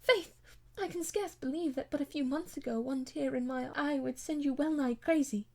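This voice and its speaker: A woman's voice: high voice, moderate pace, pitched above the performer's own voice. A woman voicing a distressed young noblewoman who pleads with her husband in a faltering voice, in a storybook reading. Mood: reproachful.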